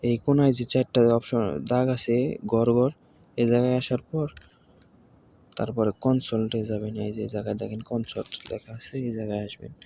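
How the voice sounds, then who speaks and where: Man, Bangladeshi accent, very monotone, mellow tone, informal tutorial narration, faint background noise. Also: mid-range voice, a little fast, vocal fry. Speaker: tutorial presenter.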